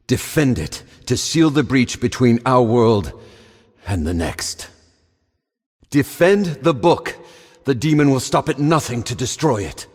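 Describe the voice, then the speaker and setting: A very deep-voiced old man, a grave and remorseful professor for a game. His voice is husky and rough, with formal, portentous phrasing. Long reverb trails after his words. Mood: urgent, commanding. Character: remorseful, grave old male professor leaving doomsday recordings. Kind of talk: dramatic scripted game narration.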